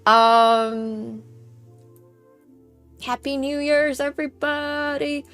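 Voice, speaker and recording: A young woman, a native Chinese speaker, hosts a casual live stream in a slightly high voice. She chats easily, thanks viewers by name, and tries out phrases in many languages while soft music plays in the background. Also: steady tone, drawn-out words. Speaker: livestream host. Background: faint background music.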